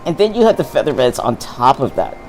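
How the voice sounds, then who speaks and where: A man's voice: medium pitch, sing-song, fairly quick, soft background music, poor audio. A man chatting enthusiastically with a friend while playing a game, laughing a lot. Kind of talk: casual let's-play chat.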